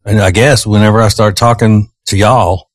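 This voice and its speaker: A man's voice: lowish voice, steady tone, normal speed, dry recording, studio quality. A male radio host chatting casually on a morning show, his voice slightly strained. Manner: chatty, teasing, rambling. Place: radio studio. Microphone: studio microphone.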